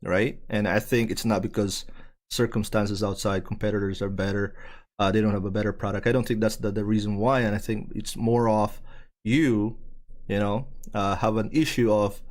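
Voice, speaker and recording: A man hosting a podcast who winds his way toward each question, drifting and circling before he lands it. His voice sits in an ordinary middle range. He sounds unhurried and thinks aloud as he goes. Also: mid-range voice. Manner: meandering, hesitant, many fillers and restarts. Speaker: podcast host. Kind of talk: casual podcast interview.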